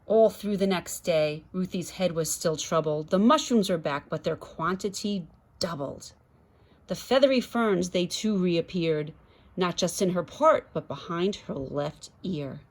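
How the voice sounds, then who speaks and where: A woman's voice: fairly low pitch, unhurried. A woman reading a rhyming children's story aloud expressively, with chatty asides to young listeners. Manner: rhythmic, dramatic, addressing children. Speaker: guest storybook reader.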